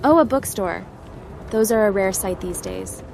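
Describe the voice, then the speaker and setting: A woman's voice: mid-range voice, wildly swooping pitch, moderate pace, background noise. A woman voicing a curious hacker adventurer in game roleplay, polite and inquisitive. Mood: casual. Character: curious young female hacker, spacefaring adventurer. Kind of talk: roleplay dialogue for game protagonist.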